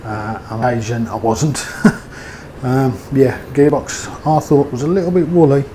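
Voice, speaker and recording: Man, mid-pitched, casual rambling review, ums and uhs, noisy background hiss. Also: fairly even intonation, unhurried. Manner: chatty, hesitant with fillers. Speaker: motovlogger.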